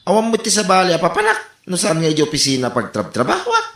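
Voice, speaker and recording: An actor with an Ilocano accent playing a hurried, grumbling office worker in a radio comedy drama. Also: medium pitch, huge pitch swings, a bit bright. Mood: exasperated. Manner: grumbling, exclamatory. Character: exasperated, hurried office worker pestered by neighbours. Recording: echoey sound with music bed.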